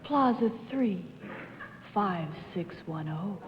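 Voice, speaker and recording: A young woman playing a lovestruck character in a dramatic reading, breathy and lingering, on an old TV recording. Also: mid-range voice, expressive, takes it slowly, muffled recording. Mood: loving. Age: young adult. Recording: echoey, noisy 1950s TV studio recording.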